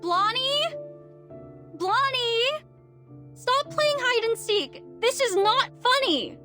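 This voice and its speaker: A frightened young woman in a horror game. Her voice is extremely high, bright and slow, with dramatic, high-strung anxiety. Faint music sits behind her. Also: lively intonation, full character voice. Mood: uneasy, annoyed. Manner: dramatic, anxious. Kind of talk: video game voice acting.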